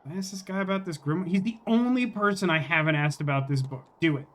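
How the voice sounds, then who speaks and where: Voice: mid-range voice, fast talker. Streamer, mid-range voice, off-the-cuff gameplay commentary, reasoning aloud with joking asides. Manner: thinks out loud, joking asides. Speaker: game streamer. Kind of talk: casual gameplay commentary, reading dialogue aloud.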